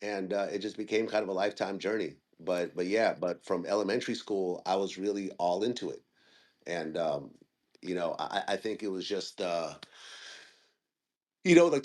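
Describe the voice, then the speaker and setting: A man's voice: fairly low pitch, a little mellow. A man in his forties from Ukraine who grew up in Northern California, talking casually with frequent restarts and fillers. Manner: rambling, with many restarts and fillers. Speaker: guest interviewer and media host. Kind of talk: casual audio chat room conversation.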